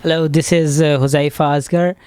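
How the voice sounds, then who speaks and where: A man's voice: a little high, level tone, fairly quick, darkish tone, slight background noise. A man in his twenties with a Pakistani accent, a medical student casually answering questions in an interview. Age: 20s. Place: student dormitory room.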